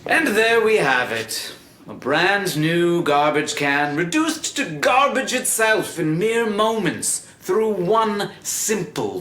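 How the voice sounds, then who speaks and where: A showy scientist salesman, really animated, kinda high voice, roomy echo, super grandiose audio drama stuff. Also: slight background noise, exaggerated voice. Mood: mock mourning. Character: pompous male scientist showman unveiling an invention. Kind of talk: scripted audio drama stage presentation. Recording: roomy, echoing hall sound.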